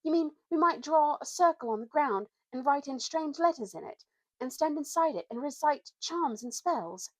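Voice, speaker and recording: A woman's voice: high-pitched, animated, moderate pace, pitched above the performer's own voice, rough recording. A woman voicing a spirited, skeptical schoolgirl in a story reading, with a blunt, questioning manner and old-fashioned slang. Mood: doubtful.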